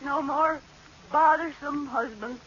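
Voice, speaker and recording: High-pitched melodramatic sitcom wife, vintage radio. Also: female voice, takes it slowly, fairly bright tone. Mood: tearful. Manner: theatrical, exaggerated delivery. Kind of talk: 1940s-50s radio comedy acting. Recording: muffled, hissy old radio broadcast.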